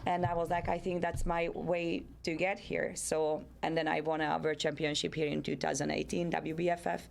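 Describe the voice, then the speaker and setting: A woman's voice: low voice, level tone, normal speed, vocal fry, hardly any pauses, a little hiss or hum. A woman, a guest introducing herself in an earnest, orderly way. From Hungary. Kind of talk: interview answers.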